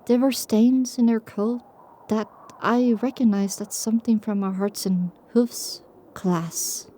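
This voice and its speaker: Woman as a mellow, reflective storyteller. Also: a little high, steady tone, takes it slowly, slight background noise. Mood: somber. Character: reflective, sorrowful female pony survivor telling her story. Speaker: narrator. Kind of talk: dramatic first-person scripted narration.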